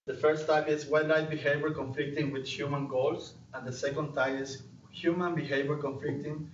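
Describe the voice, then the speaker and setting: A man's voice: fairly high pitch, little pitch movement, normal speed, a little hiss or hum. Young ecuadorian guy presenting research, spanish accent, lots of uh's, far off mic, roomy lecture hall. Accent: Latin American Spanish. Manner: hesitant, frequent uh and restarts. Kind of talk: conference presentation. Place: lecture room. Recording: distant mic, roomy hall, dull sound.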